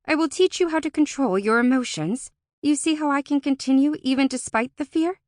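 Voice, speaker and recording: A woman's voice: sits high, a little fast, a little mellow, no echo, clean studio sound, voice pushed up, lightly acted. A woman voicing a determined, anxious young heroine in a fantasy audiobook, earnest and emphatic. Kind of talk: audiobook character acting.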